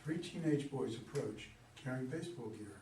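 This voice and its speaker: Male narrator, scripted stage directions, medium pitch, distant mic, noisy echoey room. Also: animated, moderate pace, mellow. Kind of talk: scripted narration at a play reading. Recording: echoey room, far from mic, background noise.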